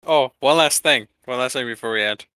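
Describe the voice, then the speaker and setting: A man's voice: mid-range voice, a little fast, pushed and bright, clear, resonant tone, no echo. A man co-hosting a podcast in casual, joking banter with friends. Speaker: podcast co-host.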